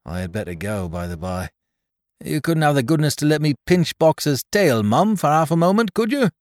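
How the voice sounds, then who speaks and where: A man's voice: on the high side, very animated, moderate pace, dry recording, studio quality, pitched above the performer's own voice. A man voicing a fussy, anxious little old toymaker in a read-aloud story. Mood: anxious eager. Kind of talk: storybook character voice.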